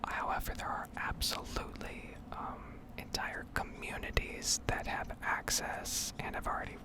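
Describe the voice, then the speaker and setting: A woman speaking in a breathy whisper, giving unhurried, meandering ASMR commentary over gameplay. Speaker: gaming ASMR video creator.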